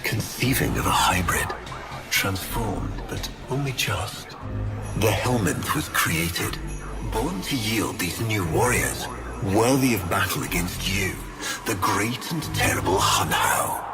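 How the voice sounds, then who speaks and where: An actor playing a haughty, vengeful war leader in a sci-fi game, delivering a deliberate, grandiose monologue with theatrical pauses. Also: male voice, on the low side, animated. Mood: proud, grave. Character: haughty, vengeful male war leader making a grim confession. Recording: loud music behind the voice.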